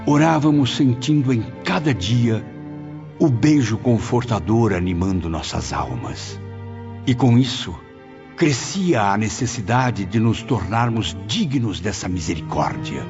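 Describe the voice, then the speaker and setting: A man's voice: fairly low pitch, lively intonation, measured pace, darkish tone. A man narrating an audiobook in the first person, with solemn, emotive, literary delivery. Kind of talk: dramatic scripted narration. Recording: dull lo-fi with music bed. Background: clear background music.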